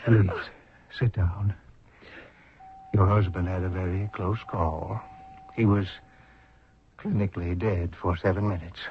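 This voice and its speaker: An actor playing a determined, businesslike hospital doctor with clipped, grave, steady speech in an old-time radio drama. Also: male voice, sits low, measured pace, pauses often, a little hiss or hum. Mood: grave, gentle. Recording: muffled radio drama recording.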